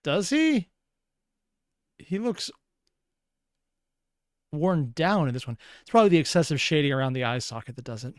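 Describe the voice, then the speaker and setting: Low-voiced woman giving clear, dry commentary. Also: big pitch swings, normal speed, pauses often, no room sound. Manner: musing, questioning, sighing between remarks. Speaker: reaction video host. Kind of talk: reaction commentary, analytical asides.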